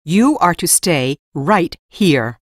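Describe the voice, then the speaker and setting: A protective woman mage in a fantasy audiobook. Her voice is low yet very animated, earnest and firm, in a dry recording. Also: slow pace, no room sound, heavily acted. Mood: stern, protective. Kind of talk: audiobook drama acting.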